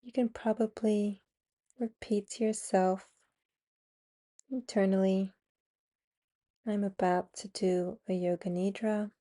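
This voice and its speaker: A woman guiding a yoga nidra meditation, giving soothing, unhurried instructions with long pauses between phrases. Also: medium pitch, warm tone. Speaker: meditation guide and podcast host.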